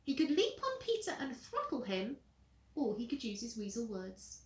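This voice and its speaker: A woman reading a children's story aloud in a dramatic, deliberate, expressive way, like a teacher with pupils. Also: a little high. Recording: roomy echo.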